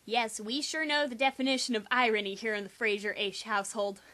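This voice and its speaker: Young female reader, high bright voice, sarcastic and theatrical delivery of a teen novel. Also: fairly even intonation, brisk. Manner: exaggerated, sarcastic, theatrical emphasis. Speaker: amateur reader. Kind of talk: dramatic reading of a first-person teen novel.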